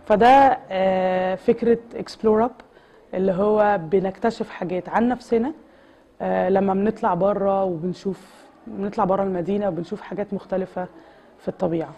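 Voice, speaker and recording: Quick, mellow Egyptian woman, muffled recording. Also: mid-range voice, fairly even intonation. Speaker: interviewee. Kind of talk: casual explanation to camera. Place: room indoors. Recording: dull sound with faint room noise.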